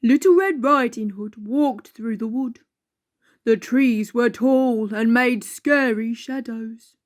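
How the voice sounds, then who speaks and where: Woman as jolly, high-pitched children's storyteller. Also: takes it slowly. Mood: storytelling. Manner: exaggerated, giggly, playful. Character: Gruff, jolly childlike storyteller character who loves cake.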